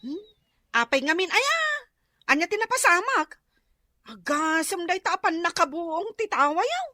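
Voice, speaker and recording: A woman's voice: extremely high pitch, big pitch swings, unhurried, sharp tone, no room sound, clean studio sound, full character voice. A woman with an Ilocano accent voicing a nosy, gossipy neighbour in a comedy radio drama. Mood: curious, alarmed. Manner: gossipy, exclamatory.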